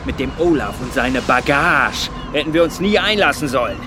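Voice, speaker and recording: Gruff, animated working man played by an actor. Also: mid-range voice, moderate pace, music in the background, lightly acted. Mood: grumbling defiance. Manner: gruff, agitated. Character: rough, defiant, cursing working man. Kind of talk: German radio drama acting.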